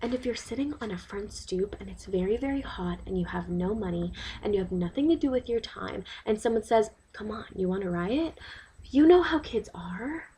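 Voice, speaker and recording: A woman's voice: mid-range voice, lively intonation, moderate pace, warm tone, a little hiss or hum, lightly acted, poor audio. Woman acting an indignant, worried neighborhood mother. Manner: emphatic, rhetorical questions, exasperated asides. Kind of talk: dramatic monologue, testimonial to a listener.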